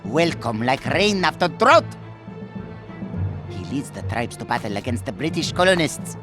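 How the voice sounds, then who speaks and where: An actor playing a servile, self-pitying desert trader with a pleading, theatrical manner in a video game cutscene. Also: male voice, mid-range voice, very animated, normal speed, lightly acted. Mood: reverent storytelling. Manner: fawning, pleading, melodramatic. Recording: music behind the voice.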